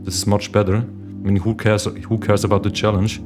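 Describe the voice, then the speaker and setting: Chatty low-voiced man streaming live. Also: flat delivery, moderate pace. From Switzerland. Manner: chatty, addresses viewers as guys, reads chat aloud. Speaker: livestream host, day trader. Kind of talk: casual livestream chat. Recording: meditation music playing behind the voice.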